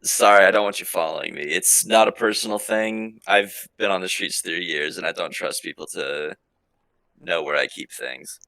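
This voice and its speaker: A man's voice: low voice, moderate pace, gravelly, bright, forceful tone, no echo. A man talking casually as a player in a tabletop roleplaying game.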